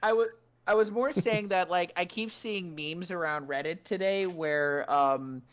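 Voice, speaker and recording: A young man co-hosting a casual gaming chat, animated and swearing as he rants. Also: high voice, smooth. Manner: animated, swears, quick bursts when ranting. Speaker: podcast co-host.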